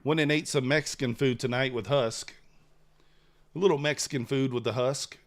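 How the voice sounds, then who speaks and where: A man's voice: medium pitch, normal speed, a little hiss or hum. A man, hosting a live trading stream in casual chat, rambling and replying to chat. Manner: relaxed, rambling, reads chat aloud. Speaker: livestream trader host.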